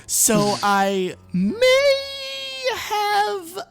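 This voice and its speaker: A man voicing a worried, teary young woman in a high falsetto with a put-on Scottish accent. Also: huge pitch swings, extremely slow, exaggerated voice. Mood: tearful. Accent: put-on Scottish accent, poorly done.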